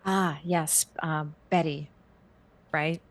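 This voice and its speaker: A woman playing a tabletop game, chatting casually in a tentative, halting way. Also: low voice, fairly even intonation. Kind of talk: casual roleplay chat.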